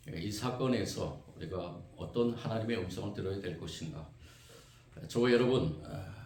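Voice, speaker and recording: A man preaching a sermon as pastor, hoarse from a cold, earnest and steady with frequent filler sounds. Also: on the low side. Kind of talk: sermon with responsive scripture reading. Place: church sanctuary. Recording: echoey church room, faint noise.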